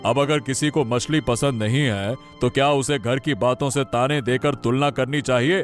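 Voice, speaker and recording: A man's voice: medium pitch, fairly even intonation, normal speed, natural voice. A man voicing a middle-aged father-in-law in a cartoon story, who speaks firmly and reassuringly. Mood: scolding. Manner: firm, reassuring, scolding. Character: stern but kind middle-aged father-in-law, head of house. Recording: soft background music.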